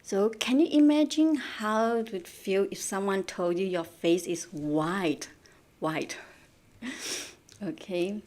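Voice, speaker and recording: A woman from Malaysia teaches a live online Japanese class, speaking into a computer mic. She repeats key words and poses questions to her viewers, moving between English and Japanese. Her voice sits at a middle pitch for a woman. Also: slow, darkish tone.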